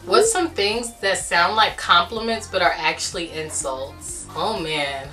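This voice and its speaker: A young woman with a deep, slightly raspy voice, chatting casually as she answers viewer questions in her bedroom. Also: a little slow, recorded from a distance, soft background music. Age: young adult. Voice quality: deep and a bit raspy at times. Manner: chatty, animated storytelling with lots of fillers. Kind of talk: casual chat. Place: small bedroom, indoors. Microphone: camera at a distance.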